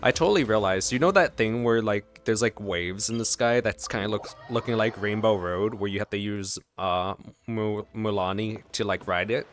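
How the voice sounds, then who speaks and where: A man's voice: fairly low pitch, normal speed, few pauses, faint music behind. A man giving casual reaction commentary while watching a video game story.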